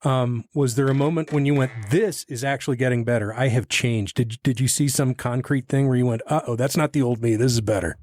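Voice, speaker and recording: A man's voice: mid-range voice, brisk, few pauses, darkish tone, no room sound. An American man hosting an informal interview podcast, talking in a folksy, blunt, slangy way.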